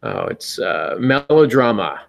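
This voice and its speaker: Low, creaky-voiced man chatting casually. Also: wildly swooping pitch, unhurried. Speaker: podcast host. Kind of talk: casual, joking chat.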